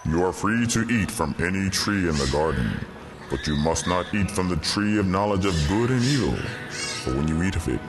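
A very deep male voice of God, solemn and benevolent, speaks with measured grandeur over clear music. It carries the authority of a creator in an audio Bible drama. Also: expressive.

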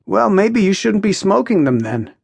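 A woman's voice: medium pitch, very animated, normal speed, vocal fry, a little mellow, breathy edge, no room sound, pitched above the performer's own voice, exaggerated voice. A woman narrator voicing a wry, guarded young man with a nonchalant, understated manner in a story. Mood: amused.

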